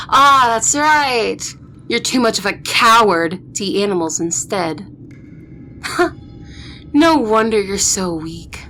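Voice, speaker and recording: A woman's voice: mid-range voice, expressive, sharp tone, slight background noise. A woman playing an arrogant, ruthless vampire princess in an audio roleplay, speaking in a haughty, threatening way. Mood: mocking, superior. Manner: haughty, threatening, slow deliberate delivery. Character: arrogant vampire princess, ruthless eldest sister, war-hungry.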